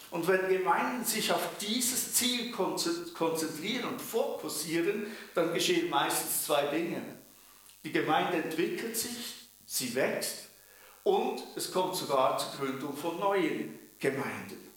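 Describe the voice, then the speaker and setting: Echoey, higher-voiced Swiss German preacher. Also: male voice, fairly bright tone. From canton of Bern, Switzerland. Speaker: preacher and mission leader. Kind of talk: sermon. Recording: very echoey room, distant from microphone.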